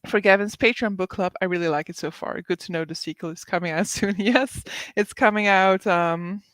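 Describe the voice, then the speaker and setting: A woman's voice: medium pitch, normal speed, fairly bright tone, no echo. A woman hosting a casual livestream reading sprint, reading viewer comments aloud, chatting with frequent laughs, likes and ums.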